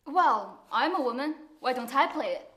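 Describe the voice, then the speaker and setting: A woman with a strong Mandarin Chinese accent playing an actress in a rehearsal, telling a lively folk tale in Mandarin. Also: high-pitched, normal speed, roomy sound. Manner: animated folk storyteller in Mandarin. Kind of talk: short film acting.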